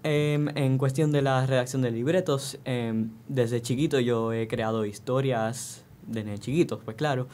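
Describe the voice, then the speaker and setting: A young man, answering interview questions in a thoughtful, halting way. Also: medium pitch, steady tone, a little mellow, a little hiss or hum. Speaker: student actor, interview guest.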